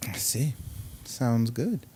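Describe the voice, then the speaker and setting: A man hosting a casual interview show, speaking in a halting, hesitant manner. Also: medium pitch, lively intonation, warm tone, a little hiss or hum. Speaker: talk show host.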